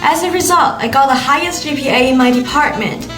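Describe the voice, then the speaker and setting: A young woman whose first language is Chinese reads a wry personal story in English. Her voice sits high, and the room rings with echo. Music plays underneath her. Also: brisk. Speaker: blogger. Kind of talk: scripted storytelling narration. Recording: echoey room with background music.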